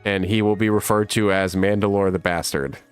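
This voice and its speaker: A man in his thirties with a rough smoker's voice, casually chatting on a livestream and reading viewer comments, with lots of laughs. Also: low voice, steady tone, fairly quick, soft background music. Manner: laid-back and rambling, laughs often. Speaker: livestream host.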